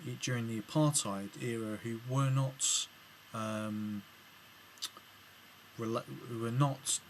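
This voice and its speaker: English male vlogger, mid-pitched, halting delivery with lots of fillers, light background hiss. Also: rough recording. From England. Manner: hesitant, many ums and restarts. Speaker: video blogger replying to another video. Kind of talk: casual spoken commentary to camera.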